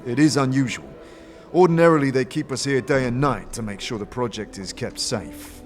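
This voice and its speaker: A man's voice: mid-range voice, moderate pace, soft background music, pitched above the performer's own voice, natural voice. Man playing a dutiful, deferential armored guard. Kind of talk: fantasy audio drama acting.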